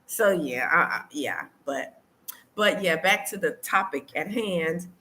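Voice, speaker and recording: A low-pitched American woman talks casually to her online viewers. She is conversational and often repeats a phrase to stress it. A light layer of ambient noise sits behind her voice. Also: expressive, unhurried, sharp tone. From United States. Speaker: vlogger hosting a live stream.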